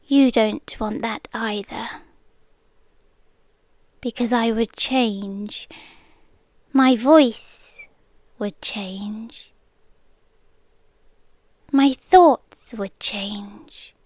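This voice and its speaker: A woman's voice: on the high side, no room sound, clean studio sound. A British woman playing a centuries-old possessive siren girlfriend in an ASMR roleplay, tender yet menacing, often sinking into whispers. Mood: quiet menace. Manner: slow, hushed, dropping often into whispers. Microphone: close, dry microphone.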